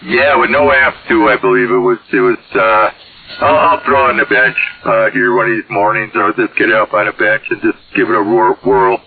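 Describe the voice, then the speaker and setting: A man's voice: lowish voice, steady tone, moderate pace, gravelly. A man chatting casually over CB radio in a hesitant, folksy way. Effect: radio transmission. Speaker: CB radio operator. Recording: tinny, band-limited CB radio signal.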